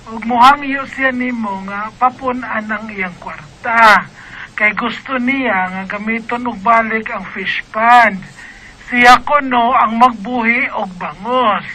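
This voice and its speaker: A woman's voice: fairly high pitch, takes it slowly, pushed and bright, slight background noise, poor audio. A woman with a Cebuano Filipino accent acting a fretful mother in a radio drama. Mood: earnest, animated.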